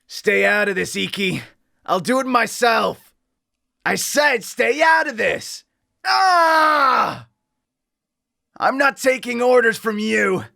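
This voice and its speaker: A man voicing a small, hot-headed, defiant robot hero in an anime style, with a strained, shouty delivery. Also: very high voice, big pitch swings, takes it slowly, vocal fry, long pauses, voice pushed up, heavily acted. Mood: angry, defiant. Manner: defiant, battle-cry delivery.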